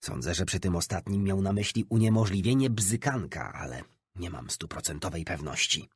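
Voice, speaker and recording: A man reading a nonfiction audiobook as an expressive scripted narration. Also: low voice, normal speed, breathy edge, no room sound.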